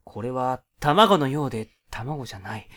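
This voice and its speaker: An actor playing an exasperated character trapped in a dark room, with blustery, incredulous retorts in a comedy radio drama. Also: medium pitch, animated, normal speed, close to an everyday voice. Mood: doubtful.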